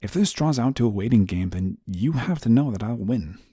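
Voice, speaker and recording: A man playing an exasperated, rule-bound but soft-hearted guardian angel in a scripted audio roleplay, scolding in a weary, formal way. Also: mid-range voice, expressive, a little fast, husky, no echo, studio quality. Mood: flustered, annoyed. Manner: weary, scolding, formal wording, sighs often.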